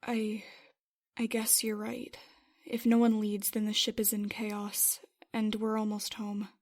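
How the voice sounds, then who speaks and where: A woman's voice: mid-range voice, monotone, a little slow. A woman playing a worried, self-doubting starship pilot in a roleplay series, earnest and hesitant. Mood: reluctant, hesitant. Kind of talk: Minecraft roleplay web series voice acting.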